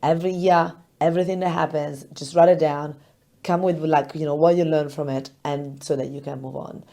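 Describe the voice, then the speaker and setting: A woman hosting a business podcast in a casual, chatty way, her voice hoarse and crackly from illness, often laughing as she talks. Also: low-pitched, fairly quick. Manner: chatty and expressive, laughs often, animated retellings. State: hoarse, recovering lost voice. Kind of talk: casual solo podcast talk.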